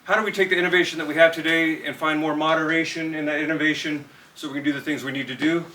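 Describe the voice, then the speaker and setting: A man's voice: on the high side, fairly even intonation, fast, sharp tone. A man from California, presenting a student project to a live audience and talking straight to them. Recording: distant mic with light noise in a room.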